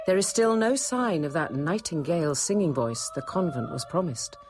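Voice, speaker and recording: Low-voiced narrating nun, music behind. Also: female voice, lively intonation, a little mellow. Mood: measured. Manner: measured, intimate. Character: watchful, compassionate middle-aged nun telling her story. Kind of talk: scripted first-person radio drama narration.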